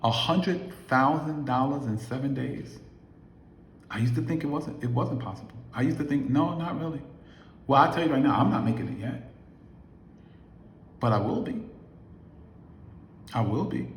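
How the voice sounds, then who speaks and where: Man, late fifties, mid pitch, emphatic motivational vlogger; recorded in a reverberant room with a faint hiss. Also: moderate pace, pauses often. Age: 50s. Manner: emphatic, preachy, rhetorical questions. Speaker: affiliate marketer vlogger. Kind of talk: motivational talk to camera. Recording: echoey room.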